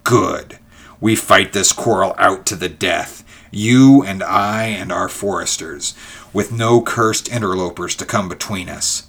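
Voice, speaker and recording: A man's voice: low-pitched, unhurried, fairly bright tone, slightly rough, full character voice. A man voicing a trapped, bitter enemy who mocks and threatens his rival with snarling, gloating menace in a storybook reading. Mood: snarling defiance.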